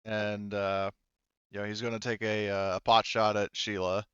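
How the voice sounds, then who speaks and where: Low, smooth-voiced male game master. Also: little pitch movement, moderate pace, fairly bright tone, dry recording, muffled recording. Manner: swears casually. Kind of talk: casual narration of a tabletop game.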